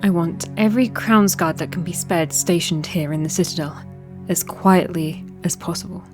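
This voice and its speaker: A woman playing a resolute, war-weary young princess in a fantasy audio drama, measured, formal and earnest. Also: on the low side, darkish tone, faint music behind, natural voice. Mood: commanding.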